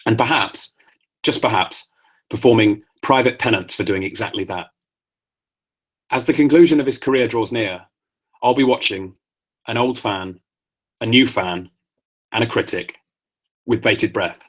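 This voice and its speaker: A man hosting a podcast, reading his own essays aloud and chatting offhand, his voice getting croaky. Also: on the low side, fairly bright tone. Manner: measured reading that turns to offhand asides. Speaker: podcast host.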